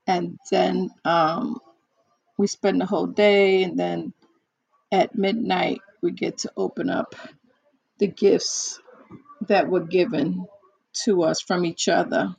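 A hoarse woman in casual one-on-one chat, talking haltingly with many fillers and restarts. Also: fairly low pitch, steady tone, a little mellow, no echo, poor audio. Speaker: chat partner.

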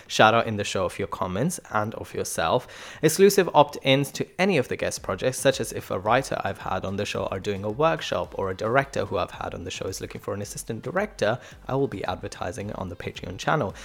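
A man's voice: lowish voice, expressive, fast talker, vocal fry. A man hosting a podcast, talking informally with a bantering, playful manner. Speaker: podcast host. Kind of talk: casual podcast intro and playful chat.

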